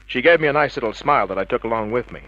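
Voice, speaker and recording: An actor playing a tough, wisecracking private detective, terse and cocky, narrating in the hardboiled style of an old radio crime drama. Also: male voice, medium pitch, expressive, racing, natural voice. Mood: wry, matter-of-fact. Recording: dull vintage radio drama recording.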